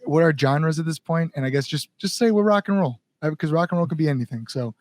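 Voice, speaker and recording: A man's voice: on the high side, animated, fast, darkish tone, dry recording. A man with an American Midwestern accent speaking casually as an interview guest, laid-back and joking. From Illinois, US. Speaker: guest, band singer.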